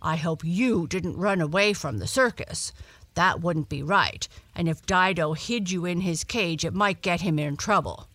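A woman voicing a gruff but kindly male dog in a children's storybook, blunt, hearty and folksy. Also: lowish voice, measured pace, fairly bright tone, no room sound. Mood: sharp.

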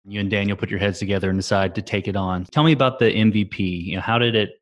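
A man hosting a tech podcast, giving a smooth scripted intro and then asking interview questions. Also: on the low side, steady tone, quick pace, highs cut off. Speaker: podcast host.

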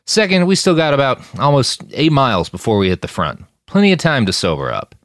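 A man's voice: mid-range voice, huge pitch swings, fairly quick, a bit bright, dry recording, studio quality, pitched above the performer's own voice. A man voicing a blunt, drugged post-human mercenary, slightly slurred, in a science fiction story. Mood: irritated, defiant.

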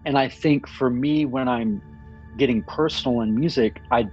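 A man in his fifties, a musician answering interview questions in an earnest, thoughtful way, often pausing and restarting. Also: mid-range voice, darkish tone, breathy edge, soft background music. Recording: slightly dull recording.

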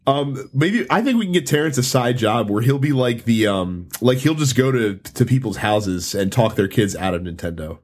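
A man's voice: mid-range voice, expressive, normal speed, few pauses, a little mellow. A man hosting a casual podcast, congested and nasal from a cold, chatty and laughing often. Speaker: podcast host.

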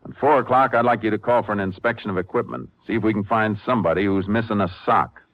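An actor playing a calm, authoritative Texas Ranger in an old-time western radio drama, measured and firm. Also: male voice, on the low side, lightly acted. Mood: firm, questioning. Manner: measured, firm, matter-of-fact. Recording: muffled, noisy 1950s radio recording.

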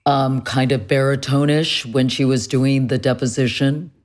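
A woman interviewer with a low voice, asking questions in a conversational way. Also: steady tone, moderate pace, darkish tone. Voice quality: low, deep female voice.

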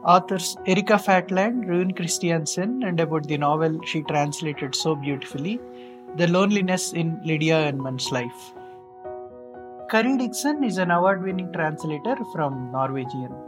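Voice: mid-range voice, lively intonation, normal speed. A podcast host reading out a guest introduction and asking questions, careful and a bit hesitant. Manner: reads carefully, with hesitant fillers. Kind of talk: read-out introduction and interview questions. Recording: music playing behind the voice.

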